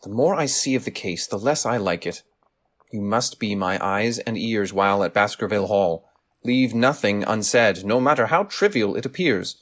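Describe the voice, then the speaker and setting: A man playing a shrewd, commanding gentleman detective in a radio play, formal, deliberate and probing. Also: lowish voice, lively intonation. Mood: grave.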